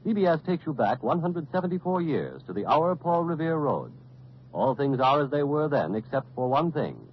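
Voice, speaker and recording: A man's voice: on the high side, sing-song, moderate pace. A man announcing scripted narration in a dramatic, portentous 1940s radio style. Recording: dull old 1940s radio recording, faint noise.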